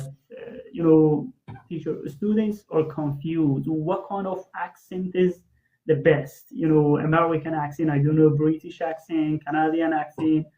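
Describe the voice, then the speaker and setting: Man asking long questions on lo-fi audio. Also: fairly high pitch. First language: Farsi. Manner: long, searching questions with fillers. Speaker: interview host.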